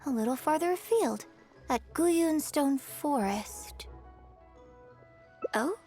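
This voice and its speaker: A woman playing a poised young opera performer in a video game, speaking as a patient, articulate acting mentor in measured phrases. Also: high-pitched, expressive, warm tone, soft background music. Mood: thoughtful, encouraging.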